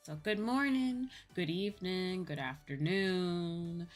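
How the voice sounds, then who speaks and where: A tired, slightly sleepy woman hosting a casual livestream chat in an unhurried way. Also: lowish voice. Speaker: VTuber livestream host. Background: soft music.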